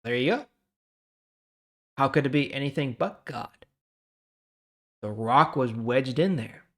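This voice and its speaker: A man with a mid-range voice hosts a devotional Bible reading. He moves between storytelling and casual commentary, leaning on key words with dramatic pauses. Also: medium pitch, a bit bright. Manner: conversational, dramatic pauses and emphasis. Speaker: host of a Bible reading series.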